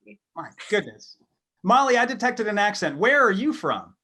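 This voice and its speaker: A woman's voice: medium pitch, big pitch swings, normal speed, bright, forceful tone. A woman hosting a casual podcast chat and asking guests questions. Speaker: podcast host, interviewer.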